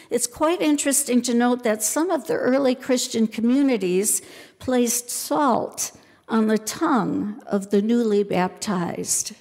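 An older woman pastor giving a sermon in a church, speaking in a measured way and pausing on lists. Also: fairly high pitch, a little mellow, big-room sound. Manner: slow, measured preaching with deliberate lists. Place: church sanctuary.

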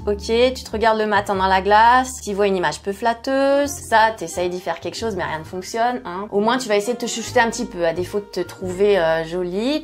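A woman in her forties speaks to camera from a home kitchen set, chatty and self-mocking, with plenty of hesitations. A faint bed of music sits behind her voice. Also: mid-range voice. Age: 40s. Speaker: skincare YouTuber. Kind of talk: candid personal vlog. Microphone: fixed camera, seated at medium distance.

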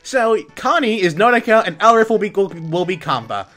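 A woman's voice: medium pitch, expressive, a little fast, a bit bright. A woman hosting a let's play, chatting casually and correcting herself as she goes. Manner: chatty, self-correcting, reads dialogue aloud. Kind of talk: casual gameplay commentary. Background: game music.

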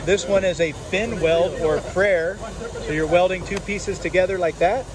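A man with a high voice walks a visitor through machines, explaining features in a confident, matter-of-fact way. A crowded trade show hall chatters loudly around him. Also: fairly even intonation, normal speed, a crowd in the background. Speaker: exhibitor demonstrating machines. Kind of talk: product demonstration.

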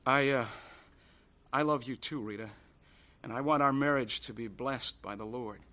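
A man's voice: medium pitch, moderate pace, bright, forceful tone, lightly acted. An actor playing a sincere, earnest and slightly hesitant young man in a radio drama. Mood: tender.

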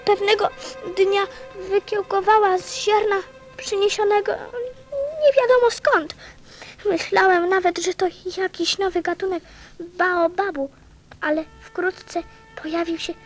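A woman playing a small, sensitive little prince in a radio play, with a childlike, earnest, questioning manner. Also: very high voice. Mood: wondering.

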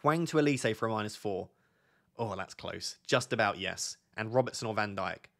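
Hoarse man streaming fantasy football. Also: lowish voice, expressive. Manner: thinks aloud, reads questions from chat, talks quieter and slower. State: losing his voice, sore throat. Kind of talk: live stream chat answering viewers. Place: indoor home studio at a desk.

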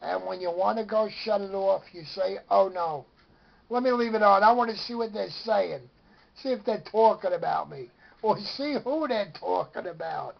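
A man's voice: high-pitched, normal speed, gravelly. An older man reminiscing casually in a rambling, storytelling way, recorded indoors on a camera microphone with dull sound. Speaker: vlogger. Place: small room indoors, in an armchair.

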